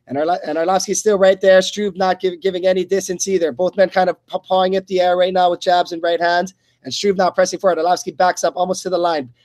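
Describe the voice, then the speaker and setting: A man doing live radio play-by-play of a fight in short, halting phrases that often repeat. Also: high voice, darkish tone. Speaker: play-by-play commentator.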